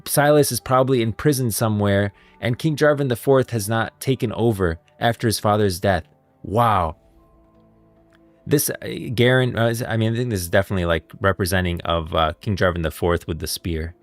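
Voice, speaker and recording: Low-voiced man giving casual lore commentary. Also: moderate pace, no echo, soft background music. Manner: rambling, repeats phrases as he reasons aloud. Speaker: streamer reacting to and explaining game lore. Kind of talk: casual live commentary with read-out dialogue.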